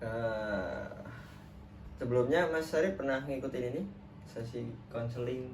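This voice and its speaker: A man's voice: medium pitch, unhurried, darkish tone. Young man counseling, roomy and distant. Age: young adult. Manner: casual, friendly, filler-heavy chat. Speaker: trainee counselor. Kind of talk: role-play counseling session. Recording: roomy, distant mic with clear background noise.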